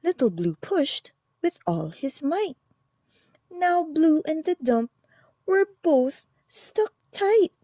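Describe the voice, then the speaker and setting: A woman narrating a children's picture book aloud with expressive, deliberate phrasing. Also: high-pitched, no echo. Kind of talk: animated storybook read-aloud.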